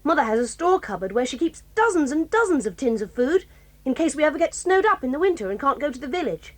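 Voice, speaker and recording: A woman's voice: sits high, big pitch swings, fast. An actress playing a bold, stubborn tomboy girl who speaks confidently and decisively.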